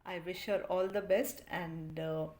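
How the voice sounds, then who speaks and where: A woman's voice: lowish voice, steady tone, a little slow, a little mellow. A woman French teacher giving an appreciative testimonial with frequent fillers. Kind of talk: recorded testimonial.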